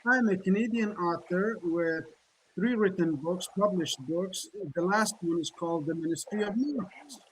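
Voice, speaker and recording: High-voiced Middle Eastern-born man presenting. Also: normal speed, a little hiss or hum, poor audio. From Middle East. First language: Kurdish or Persian. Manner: earnest, repetitive, rhetorical questions. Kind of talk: online presentation, motivational pitch.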